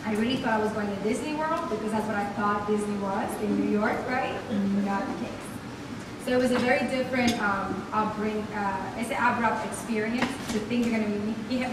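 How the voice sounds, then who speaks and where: A woman's voice: medium pitch, fairly quick. Upbeat woman, echoey room. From Dominican Republic. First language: Spanish. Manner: lively, upbeat, faith-filled. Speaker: guest speaker. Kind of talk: motivational talk. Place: hotel meeting room. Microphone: podium mic on a stand, recorded by a far camera. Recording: echoey room from far off, noisy.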